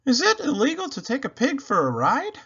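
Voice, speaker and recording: A man's voice: extremely high pitch, huge pitch swings, pushed and bright, breathy edge, dull audio, voice pushed up, heavily acted. A man voicing an earnest, formal police officer reasoning aloud to himself in a children's storybook. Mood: puzzled. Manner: deliberate, formal.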